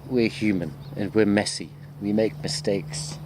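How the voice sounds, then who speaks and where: A man with a low-pitched voice talks like a lecturer chatting to his students on a walk. He rambles along in a conversational, self-deprecating way. Faint insect and cricket noise sits behind him, as in an outdoor recording. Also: fairly even intonation, a little slow. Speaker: university lecturer. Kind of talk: informal walking video chat to students.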